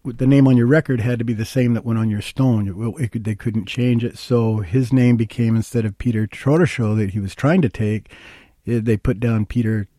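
An older man from Minnesota speaks in a soft-edged, mellow voice. He explains things in a plain, anecdotal way, as a guest in conversation. The sound is dry, with very little room on it. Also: mid-range voice, fairly even intonation, brisk, no echo. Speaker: interview guest, historical researcher. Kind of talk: conversational interview, storytelling.

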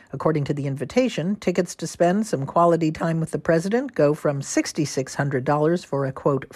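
A woman's voice: low-pitched, darkish tone, dry recording. A woman with an American accent giving a scripted political report on the radio.

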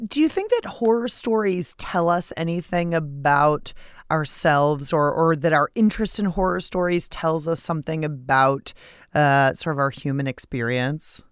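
Lower-voiced woman hosting a radio show. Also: moderate pace, clear, resonant tone, dry recording, studio quality. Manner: informal, playful wording. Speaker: radio show host, interviewer. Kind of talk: scripted radio hosting and interview.

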